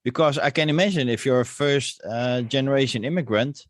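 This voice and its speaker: A man's voice: mid-range voice, dry recording. Hesitant male podcast host, dry sound. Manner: hesitant, halting questions. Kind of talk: interview questions.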